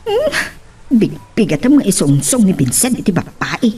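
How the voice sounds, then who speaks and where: An actress with an Ilocano accent playing a sharp, teasing married boutique owner in a theatrical, animated radio drama style. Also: female voice, mid-range voice, moderate pace. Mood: nagging.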